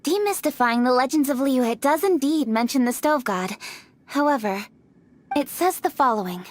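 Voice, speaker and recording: A woman's voice: high voice, lively intonation. A woman voicing a composed, decisive young official who speaks formally in a game cutscene. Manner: formal, measured. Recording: game cutscene with faint music.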